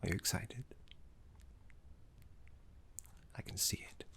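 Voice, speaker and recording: A man voicing a gentle, fussy, scholarly older necromancy teacher in a soft ASMR roleplay, breathy and half-whispered, with polite, hesitant phrasing. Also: natural voice. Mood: fond, encouraging. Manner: polite, hesitant, slow and deliberate.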